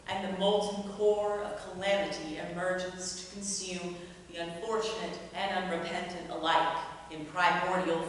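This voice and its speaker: A woman performer addresses a live audience in a medium-pitched voice. Her delivery is slow. The sound is very reverberant and distant, as if captured from across a hall. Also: a bit bright. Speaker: performer at a writers' showcase. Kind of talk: reading aloud and addressing an audience. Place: reverberant indoor performance space. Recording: echoey hall, far mic, faint noise.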